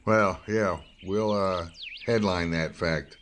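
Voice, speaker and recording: A man's voice: sits low, fairly even intonation, slow pace, fairly bright tone, a little hiss or hum. A man acting in a radio drama as a wry, skeptical investigative reporter, both speaking in scenes and narrating. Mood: wry, brooding. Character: wry, probing male investigative newspaper reporter.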